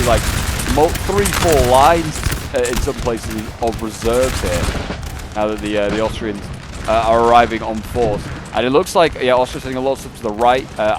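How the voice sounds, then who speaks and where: A man with a fairly low voice talks through a strategy game battle in loose, rambling commentary full of fillers and restarts. Gunfire and artillery from the game are clearly heard beneath him. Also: background noise. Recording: loud game battle sounds under voice.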